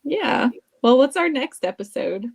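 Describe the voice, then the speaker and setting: A woman with a notably high-pitched voice co-hosts an informal review. Her delivery is conversational and playfully dramatic, with a giggly streak. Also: sing-song, measured pace, creaky, breathy edge. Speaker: co-host of a TV episode review. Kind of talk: casual chat with playful verdicts.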